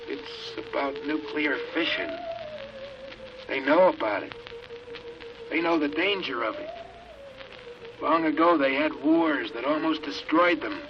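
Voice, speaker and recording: An actor playing a desperate, haunted test pilot in a 1950s radio drama, speaking with urgency and intensity. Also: male voice, on the high side, lively intonation, music in the background. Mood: dazed, awed. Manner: urgent, intense, halting when entranced. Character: desperate, haunted male rocket test pilot raving under hypnosis. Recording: muffled, hissy old radio recording.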